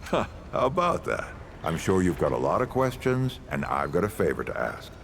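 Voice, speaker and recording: An actor playing an old, folksy, world-weary leader and storyteller in a video game, with a gravelly voice and unhurried delivery. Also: male voice, deep voice, very animated, a little hiss or hum, heavily acted. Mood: wry, welcoming. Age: older. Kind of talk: video game character dialogue.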